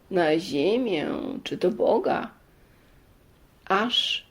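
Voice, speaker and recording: A woman voicing a wise old grandmother in a storybook, solemn and confiding. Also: lowish voice.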